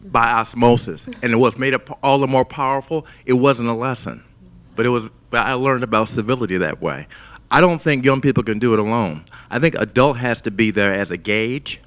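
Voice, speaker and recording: A man's voice: medium pitch, brisk, fairly bright tone. Reflective man from the audience. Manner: reflective, conversational. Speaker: audience member, urban teacher.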